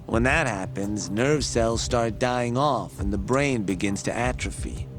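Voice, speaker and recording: An actor playing a patient, earnest medical expert who explains things in a measured, articulate, didactic way in a video game. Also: male voice, medium pitch, natural voice. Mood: pleased, instructive. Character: learned male medical expert, patient, earnest, wryly teasing lecturer. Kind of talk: English video game dub, explanatory dialogue. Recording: background music under the voice.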